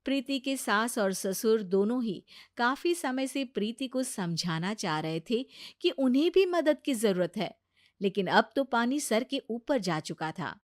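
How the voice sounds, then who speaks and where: A woman reads a scripted moral tale as its narrator. Her delivery is expressive, with lively intonation. The recording is dry, with little room sound. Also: a little high, a little slow, no echo. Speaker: story narrator.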